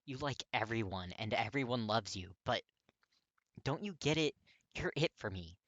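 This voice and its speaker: A man voicing a gruff, hot-tempered young man awkwardly confessing his feelings in an audiobook, blunt, halting and strained. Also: medium pitch, animated, a bit bright, no room sound, highs cut off. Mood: exasperated. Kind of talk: dramatic audiobook character reading.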